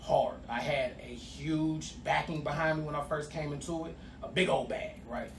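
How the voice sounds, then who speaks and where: A middle-aged man hosting a podcast in relaxed, slangy casual chat. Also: a little high, normal speed, vocal fry. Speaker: podcast host. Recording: echoey room from a distant mic, noisy.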